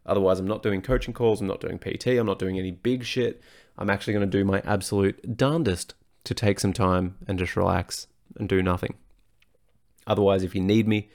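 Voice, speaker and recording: A hoarse man, talking frankly and swearing casually as he hosts his solo podcast. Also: lowish voice, darkish tone. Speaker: personal trainer and podcast host.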